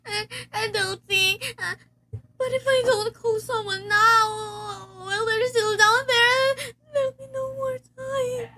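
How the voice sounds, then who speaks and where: Woman acting a frantic, very high-pitched invalid wife. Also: little pitch movement, slow, pushed and bright, a little hiss or hum, exaggerated voice. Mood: terrified, pleading. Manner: urgent, stammering, pleading. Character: frantic, nervous, demanding invalid wife home alone. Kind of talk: amateur school radio play acting.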